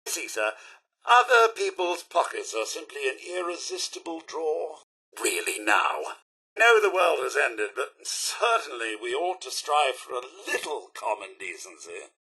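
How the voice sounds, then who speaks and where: British robot manservant, video game voice, bright and forceful, slightly rough-edged, prim, theatrical, chipper. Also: male voice, on the high side, sing-song, measured pace, full character voice. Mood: prim, disapproving. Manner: polite, pompous, theatrical servant's phrasing. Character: prim, fussy, chipper male robot butler. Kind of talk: video game character voice lines.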